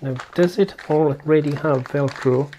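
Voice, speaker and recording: A man's voice: fairly high pitch, fairly even intonation, unhurried, mellow, slight background noise, rough recording. A man giving casual hands-on product commentary as a reviewer, explaining step by step and thinking aloud informally. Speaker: tech reviewer and presenter.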